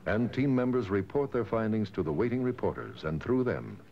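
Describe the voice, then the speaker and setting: A man reading scripted documentary narration in a measured, formal way, on a dated soundtrack. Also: fairly low pitch, lively intonation. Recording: dull, dated lo-fi film soundtrack. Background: music.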